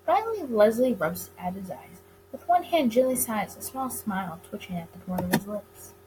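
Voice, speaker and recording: A woman reading a visual novel aloud as dramatic narration for a gaming video, with expressive delivery. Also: mid-range voice, moderate pace, noisy background.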